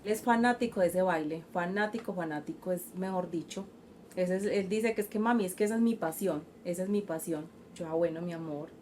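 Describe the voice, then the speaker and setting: A woman's voice: fairly low pitch, fairly quick, darkish tone, a little hiss or hum. A woman, a student's mother, speaking proudly and affectionately.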